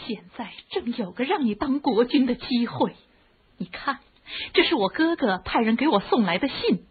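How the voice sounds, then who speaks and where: An actress playing a bold, scheming palace consort in a radio drama, scornful, goading and impatient. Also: female voice, a little high, expressive, normal speed, slightly rough. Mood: scheming, urgent. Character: bold, scheming palace consort plotting revolt against the king. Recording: muffled, tinny phone-like radio drama recording.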